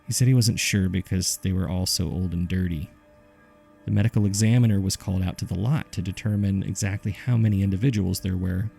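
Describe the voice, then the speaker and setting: Deep, gravelly man narrating horror. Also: mellow. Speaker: host and narrator of a horror audio drama. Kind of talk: scripted narration, reading case files.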